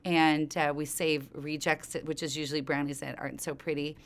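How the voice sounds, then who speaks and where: A middle-aged woman with a low, smooth, clear voice, talking as a business founder in an interview. She speaks conversationally, telling things informally and looping back to earlier thoughts as she goes. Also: little pitch movement, moderate pace. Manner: informal, rambling, circles back mid-thought. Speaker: company co-founder being interviewed.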